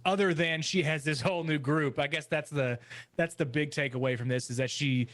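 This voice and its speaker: A man's voice: fairly high pitch, fairly even intonation, a little fast, fairly bright tone. A man giving his thoughts casually as a panelist on a podcast. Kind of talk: casual discussion.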